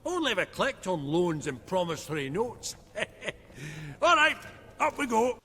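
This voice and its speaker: Dwarf banker for a game, high swoopy voice, chummy and bossy, echoey room. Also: male voice, big pitch swings, unhurried, pushed and bright, a little hiss or hum, full character voice. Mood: chatty. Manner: colloquial, blustering, swearing. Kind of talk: video game voice acting.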